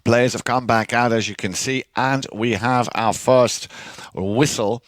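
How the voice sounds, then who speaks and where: A man giving measured play-by-play commentary on a polo match, with frequent fillers. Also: mid-range voice, fairly even intonation, no room sound.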